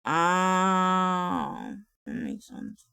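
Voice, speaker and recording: A sleepy woman talks slowly and casually into a close mic. Her voice has a gravelly, raspy edge, and she swears and laughs easily. The room sounds dry and close. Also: lowish voice, huge pitch swings, pushed and bright, no echo. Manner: chatty, swears and laughs often. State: tired. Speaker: streamer. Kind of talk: casual livestream chat.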